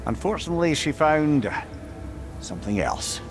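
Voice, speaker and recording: A man's voice: on the high side, very animated, moderate pace. A man with a Scottish accent playing a witty, knowing old storyteller and advisor in a video game, wry and lilting. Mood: wry, storytelling. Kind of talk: video game cutscene acting. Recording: music behind the voice.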